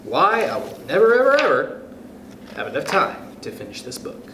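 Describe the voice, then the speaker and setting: A man's voice: on the high side, wildly swooping pitch, moderate pace. A man hosting a children's storytime, reading a picture book aloud expressively and talking directly to young listeners. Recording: roomy echo with faint background noise.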